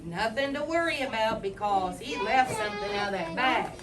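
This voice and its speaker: A woman reading a story aloud to children in an expressive, dramatic way, adding simple explanatory asides. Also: medium pitch, moderate pace, recorded from a distance, over a noisy crowd.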